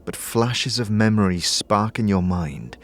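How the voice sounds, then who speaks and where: A man's voice: on the low side, lively intonation, unhurried. A man giving theatrical, second-person scripted narration for a fantasy audio drama. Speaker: narrator and lead player.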